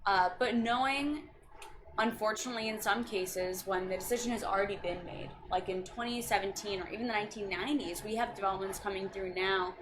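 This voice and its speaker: A woman's voice: medium pitch, brisk. Earnest young woman on a noisy stream. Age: 20s. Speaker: county district staff member. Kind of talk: casual chat on a video stream. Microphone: video call. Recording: noisy recording.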